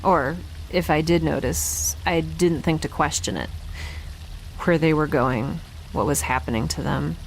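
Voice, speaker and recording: A woman playing a guilt-ridden young scientist keeping an audio log in a halting, reflective, self-questioning way, as in an audio drama. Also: sits low, slight background noise, low-quality recording. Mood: guilty, troubled. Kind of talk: audio drama monologue, introspective.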